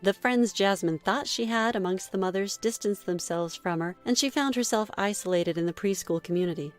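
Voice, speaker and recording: A woman reading a scripted first-person story in a measured read-aloud manner. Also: mid-range voice, soft background music. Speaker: story narrator.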